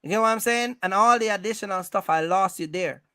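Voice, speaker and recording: A man hosting an animated advisory conversation about business, speaking emphatically, repeating himself and often checking in with questions. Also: high voice, brisk, clean tone, no room sound, clean studio sound. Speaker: host giving business advice to truck owners.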